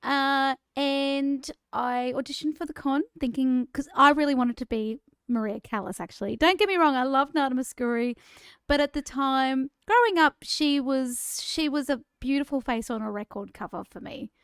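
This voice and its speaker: A woman's voice: sits high, normal speed, clean tone, no echo, clean studio sound. An Australian woman in her forties, co-hosting a podcast and telling her own story in a chatty, self-deprecating way. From Queensland, Australia. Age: 40s. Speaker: podcast co-host. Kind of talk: casual conversational podcast chat.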